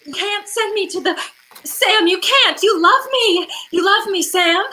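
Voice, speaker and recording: Woman as very high, pleading femme fatale. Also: measured pace, bright, forceful tone, exaggerated voice, rough recording. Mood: pleading, amorous. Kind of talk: old-time radio drama acting.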